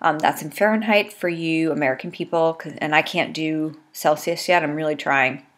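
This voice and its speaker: A woman in her thirties with a low, stuffed-up voice talks to her webcam from a small room at home. Her delivery is chatty and rambling, with lots of ums between thoughts. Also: steady tone, moderate pace. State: congested. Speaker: beauty vlogger. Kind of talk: casual chat to camera. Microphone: webcam at desk.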